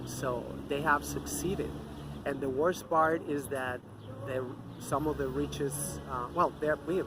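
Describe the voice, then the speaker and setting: A man's voice: medium pitch, noisy background. Angry man ranting outdoors. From Mexico. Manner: angry, profane, scornful. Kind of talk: off-the-cuff ranting monologue.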